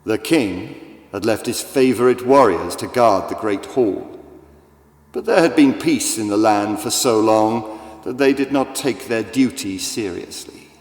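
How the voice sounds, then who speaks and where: Slow, echoing male storyteller. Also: fairly low pitch. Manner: theatrical, deliberate, with big swells and hushes. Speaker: audiobook narrator. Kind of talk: dramatic scripted storytelling for children. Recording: echoey, long reverb, music behind.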